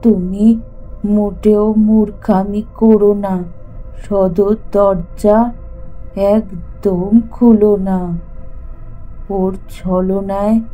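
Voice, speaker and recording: A frightened village wife played by a woman pleads insistently in a horror audio drama. She drags each phrase out very slowly and melodramatically in a very dark tone, over faint music. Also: mid-range voice, rough recording. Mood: urgent warning. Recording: music under the voice.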